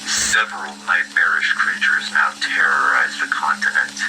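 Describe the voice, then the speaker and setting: A man reading a scripted news bulletin in a grave, formal, deadpan manner. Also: extremely low pitch, moderate pace. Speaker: news announcer in an analog horror video. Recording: noisy lo-fi broadcast-style audio. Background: loud noisy ambience.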